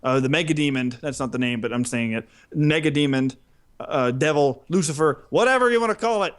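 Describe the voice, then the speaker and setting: Higher-voiced man chatting on a podcast. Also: fairly quick, clear, resonant tone. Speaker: podcast co-host. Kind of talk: casual podcast chat.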